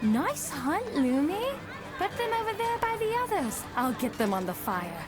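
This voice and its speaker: Easygoing wolf-woman cook, high voice. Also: big pitch swings, normal speed, loud music behind the voice, over a noisy crowd. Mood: amused, indulgent. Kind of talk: visual novel voice acting.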